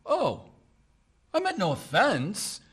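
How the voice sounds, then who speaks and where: Man doing a smug rich guy, slow and patronizing, voice swoops up and down. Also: high voice, wildly swooping pitch, a bit bright, slightly rough, exaggerated voice. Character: smug condescending rich suitor. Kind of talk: stage-play acting.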